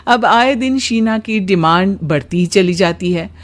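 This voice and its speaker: A woman's voice: mid-range voice, a little slow. A woman narrator telling a scripted cartoon story.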